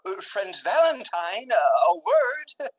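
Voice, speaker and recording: A woman playing an urgent yet hesitant young gentleman in a Shakespearean radio drama, with deliberate classical delivery. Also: mid-range voice, big pitch swings, low-quality recording.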